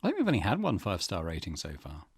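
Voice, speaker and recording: Guy doing casual game commentary, bit raspy, lively pitch, dry close sound. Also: very fast, dry recording. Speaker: let's play host.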